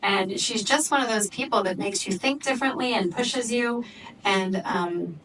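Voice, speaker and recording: A woman's voice: medium pitch, moderate pace, a bit bright. Moderator: an eager woman introducing a guest, picked up from across a noisy room. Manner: enthusiastic, many ums. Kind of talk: introducing a guest at a fireside chat. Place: office event space, live audience. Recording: distant mic with background noise.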